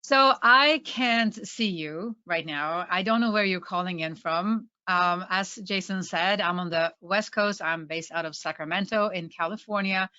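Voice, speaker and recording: A woman's voice: on the low side, measured pace, few pauses, bright, forceful tone, clear, resonant tone, dull audio. A woman from Sweden with a Swedish accent, giving an enthusiastic, friendly webinar presentation as a trainer.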